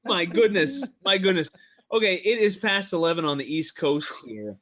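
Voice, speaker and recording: Guy hosting a stream, slightly high voice, excitable, stumbles over his words a lot. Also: normal speed. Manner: excitable, stammering, repeats exclamations. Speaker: show host. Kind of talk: casual livestream chat.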